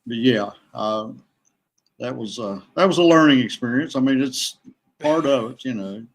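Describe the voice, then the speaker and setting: A middle-aged man from West Virginia, chatting casually as a guest in a halting way with pauses. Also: medium pitch, rough recording. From West Virginia, USA. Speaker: podcast guest, kayak angler. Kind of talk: casual chat. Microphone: remote online call.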